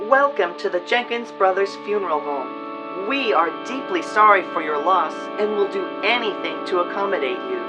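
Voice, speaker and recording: Woman as a suave, animated male undertaker. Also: mid-range voice, moderate pace, dull audio, lightly acted. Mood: solicitous. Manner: formal, solicitous. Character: suave, courteous male funeral home director. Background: music.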